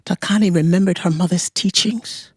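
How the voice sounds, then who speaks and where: A woman storyteller narrating a folktale in a deliberate, expressive way, with dramatic pauses. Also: on the low side, breathy edge, dry recording, clean studio sound.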